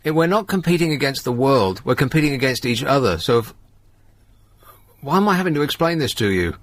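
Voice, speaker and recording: A man's voice: mid-range voice, lively intonation, fairly quick. A man hosting a live radio phone-in, chatting and telling stories in a dry, exasperated way. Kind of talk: casual live phone-in chat and anecdote.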